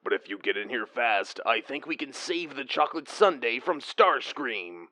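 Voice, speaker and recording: Audio story role: playful dad acted by a man as noble robot commander, measured mid-range voice, clearly crackly radio line. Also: medium pitch, very animated, sharp tone. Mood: playful mock-heroic. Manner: measured, mock-heroic. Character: playful, loving dad doing heroic robot leader voice. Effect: crackly walkie-talkie. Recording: crackly, noisy walkie-talkie line.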